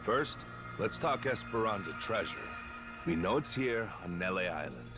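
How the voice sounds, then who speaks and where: An actor playing a level-headed, dry-witted pirate crewmate in a video game cutscene. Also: male voice, on the low side, expressive, moderate pace, over music, close to an everyday voice. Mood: businesslike.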